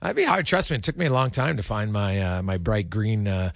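A man hosting a casual sports talk show, speaking in a halting, repetitive way. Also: fairly low pitch, lively intonation, creaky, no echo, studio quality.

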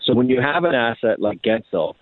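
Man on a tinny phone line, halting delivery. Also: mid-range voice, animated, dry recording. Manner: halting, repeats and restarts phrases. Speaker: hockey writer, interview guest. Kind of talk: conversational sports radio analysis. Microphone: phone call.

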